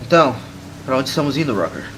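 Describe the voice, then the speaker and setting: A man's voice: medium pitch, big pitch swings, fast talker, noisy background, close to an everyday voice. A man with a Brazilian Portuguese accent playing a menacing, obsessed war-veteran journalist in an audio drama. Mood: gruff, dry. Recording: music under the voice.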